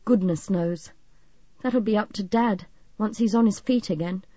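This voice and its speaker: Anxious, courteous young man played by a female narrator, earnest and mellow, storybook reading. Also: mid-range voice, a little slow, no room sound, highs cut off, close to an everyday voice. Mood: anxious, surprised. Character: worried, polite young man with a sick father.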